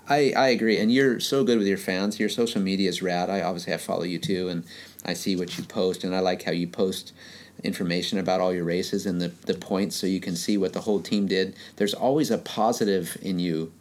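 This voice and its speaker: Man, interviewer, very low voice, casual, slangy, enthusiastic. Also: moderate pace, a little mellow.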